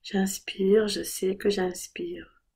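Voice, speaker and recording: Woman, guided meditation, soft husky-breathy tone, relaxed timbre, calm, unhurried, gentle. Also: medium pitch. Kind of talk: guided body scan meditation.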